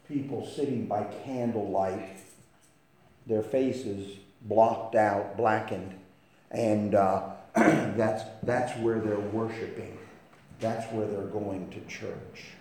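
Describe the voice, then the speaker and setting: A man preaching a sermon, swinging between hushed storytelling and loud, impassioned emphasis. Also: lowish voice. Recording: echoey room with faint noise.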